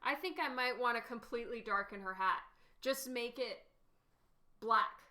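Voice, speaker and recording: A woman's voice: fairly high pitch, moderate pace, bright, forceful tone. A woman artist casually chatting with stream viewers while she paints, giggling often and answering their questions.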